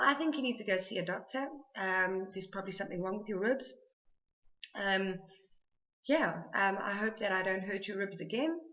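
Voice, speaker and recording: A woman's voice: medium pitch, a bit bright. South african woman rambling to camera, lots of ums, kinda dull lo-fi sound. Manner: chatty and rambling, many ums and restarts. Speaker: vlogger. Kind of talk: casual to-camera chat.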